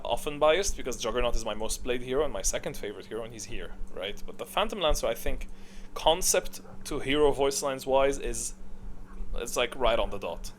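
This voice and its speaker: A man's voice: medium pitch, slight background noise. A man giving casual, unscripted gaming commentary, thinking aloud in an opinionated, rambling way. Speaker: video game commentator.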